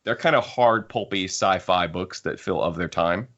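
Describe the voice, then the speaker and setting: A man's voice: low-pitched, moderate pace, a bit bright. A man hosting a casual panel discussion about books, talking in an emphatic, opinionated way. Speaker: podcast host. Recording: muffled lo-fi audio.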